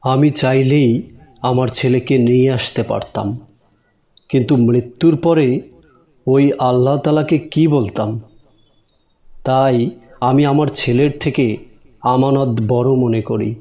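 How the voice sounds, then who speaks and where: An actor playing a devout, principled village teacher in a cartoon moral story, speaking earnestly and solemnly. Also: male voice, mid-range voice, slow, long pauses, warm tone. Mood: solemn, resolute.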